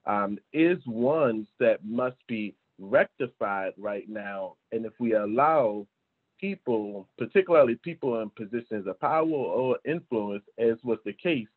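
A man in his thirties with a husky voice talks earnestly on an online panel. He often checks his points with a tag question and poses rhetorical questions. The sound is narrow and phone-like, from a video call. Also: lowish voice, lively intonation, measured pace. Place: small indoor room, at a desk. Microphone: video call computer microphone.

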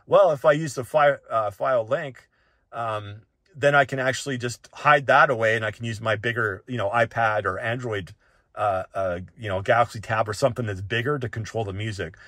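A man's voice: mid-range voice, moderate pace, a bit bright, no room sound, studio quality. A man talking casually and off the cuff through a device tutorial, conversational and often checking in with the listener. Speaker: tech reviewer giving a device walk-through. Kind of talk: casual, unscripted tutorial talk.

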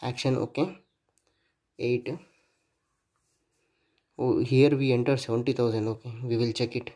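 A man narrating a hands-on electronics project demo at a workbench, thinking aloud in a halting way and spelling out digits and letters as he goes. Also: medium pitch, steady tone, dark tone. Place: indoors at a workbench.

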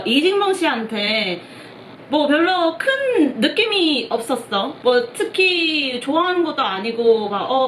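A woman's voice: sits high, a bit bright, a little hiss or hum. A young woman from Taiwan, a native Mandarin speaker with a Taiwanese accent, chatting in hesitant, word-searching Korean as she reacts to a show. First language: Mandarin Chinese. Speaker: reaction video host. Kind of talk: casual reaction commentary. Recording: roomy echo with show music behind.

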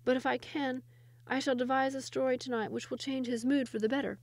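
A woman voicing a clever, wry young royal wife and storyteller in a storybook, measured and resolute. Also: high voice, fairly even intonation, a little mellow, no room sound, voice pushed up. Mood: resigned, resolute.

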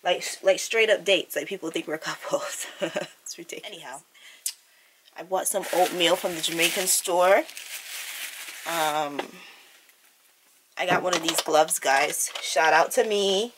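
Young woman vlogging from her room, lower voice, chatty and laughy, camera mic with noise. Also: unhurried, long pauses. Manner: chatty, laughs often, talks to viewers. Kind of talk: casual vlog chat. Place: small bedroom and outdoors on city streets. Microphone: camera mic at arm's length. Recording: camera audio with clear background noise.

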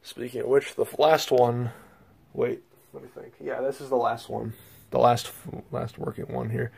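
An American man with a slightly gravelly voice giving a casual, halting, rambling video tour indoors on a handheld phone. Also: mid-range voice, little pitch movement, a little mellow. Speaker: host, hobbyist collector. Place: small bedroom, indoors. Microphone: handheld phone, speaker behind the camera.

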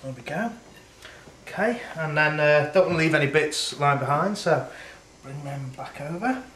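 Male cooking host, 30s, slightly high voice, chatty, recorded from across an echoey kitchen. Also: expressive, normal speed, a bit bright, slight background noise. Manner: chatty, talks while working at the stove. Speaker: home cooking show host. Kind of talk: casual cooking demonstration. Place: home kitchen at night, indoors. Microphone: camera mic from across the room. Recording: roomy, distant camera-mic sound.

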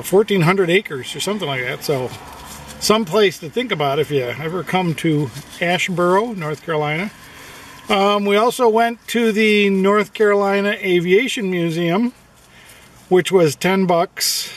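A man's voice: high voice, far from the mic. A man in his fifties talking casually to the camera from inside a car, rambling in an easygoing way with lots of fillers. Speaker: vlogger. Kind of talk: casual travel vlog narration. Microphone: camera on the dashboard. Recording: road and car noise.

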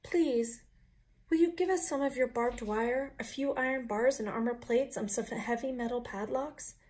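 A woman voicing three small, frightened but defiant little wolves in a high, squeaky, childlike voice. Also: moderate pace, a little mellow, natural voice. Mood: pleading.

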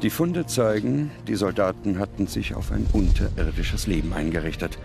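A man's voice: lowish voice, moderate pace, vocal fry. A man reading scripted documentary narration as the narrator. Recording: music under the voice. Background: music.

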